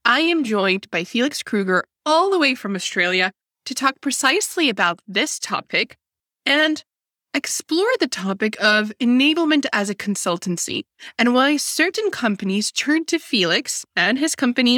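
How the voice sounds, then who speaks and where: A woman's voice: fairly high pitch, expressive, normal speed, bright, forceful tone, dry recording, clean studio sound. A woman hosting a podcast, reading a polished intro and asking her guest questions. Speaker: podcast host. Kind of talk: scripted intro and interview questions.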